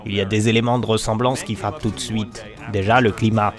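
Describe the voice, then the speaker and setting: A man narrating a scripted mock documentary in a mock-serious, deliberate manner. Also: lowish voice, level tone, over loud music.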